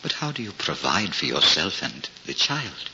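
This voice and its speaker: Kindly old cobbler, halting and folksy, low voice with wide swings. Vintage radio drama. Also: male voice, big pitch swings, sharp tone, full character voice. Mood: tender, kindly. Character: kindly, pious old Russian cobbler, gently pleading. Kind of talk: 1940s radio drama acting. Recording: muffled, noisy 1940s radio recording.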